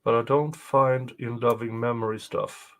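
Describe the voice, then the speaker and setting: A man's voice: medium pitch, steady tone, measured pace, a bit bright. A man chatting casually as a co-host. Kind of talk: casual chat.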